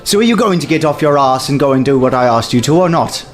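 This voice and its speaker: A man's voice: mid-range voice, animated, quick pace, over loud music, close to an everyday voice. A man voicing a bitter, bossy woman tavern keeper with a blunt, scolding manner. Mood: impatient.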